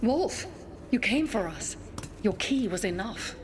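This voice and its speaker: A woman voicing a brisk, loyal elven rebel agent, battle-ready, in a video game. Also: mid-range voice, lively intonation, background noise. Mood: relieved.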